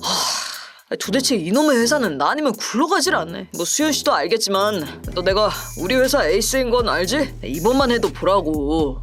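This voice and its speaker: A man's voice: high voice, a bit bright. A man doing a smug, bullying office boss, scolding and bragging, condescending, audio drama. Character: arrogant, bullying male office assistant manager.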